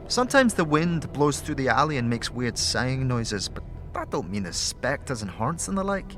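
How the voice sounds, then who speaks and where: A woman's voice: extremely low pitch, sing-song, normal speed. A woman playing a brash, streetwise tiefling with slangy, rustic street talk in a fantasy audio drama. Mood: wary, dismissive. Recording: music under the voice.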